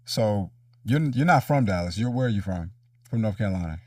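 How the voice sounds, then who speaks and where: A man's voice: lowish voice, lively intonation, brisk, a little mellow, dry recording, studio quality, far from the mic. A man hosting a casual, reflective conversational interview in informal language. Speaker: interviewer, show host.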